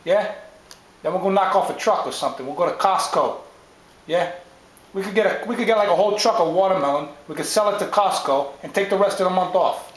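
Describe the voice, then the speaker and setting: A man's voice: high voice, brisk, reverberant, natural voice, poor audio. A man doing a swaggering wiseguy dad. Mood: scheming. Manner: tough-guy drawl, rambling schemes, nudging questions. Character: swaggering small-time male wiseguy dad.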